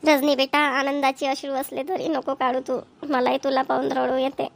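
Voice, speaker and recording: A woman's voice: high-pitched, completely flat delivery, normal speed, creaky. A woman voicing a fretful, doting farm wife in a village cartoon, plaintive and repetitive. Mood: doting, tearful. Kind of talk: Marathi village cartoon comedy dialogue.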